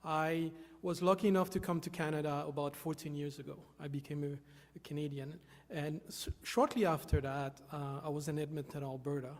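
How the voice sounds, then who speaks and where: A man from Haiti, giving an earnest, halting stage talk with many fillers. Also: fairly high pitch, fairly even intonation, darkish tone. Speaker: volunteer speaker. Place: hall with an audience. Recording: long reverb of a large hall.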